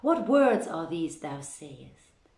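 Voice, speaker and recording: A proud Arabian-tale princess read by a woman declaims her refusals in archaic language. The voice is low and slow but emphatic and defiant, ringing in an echoey room. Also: sing-song, darkish tone, full character voice. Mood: wrathful, defiant. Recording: roomy home livestream echo.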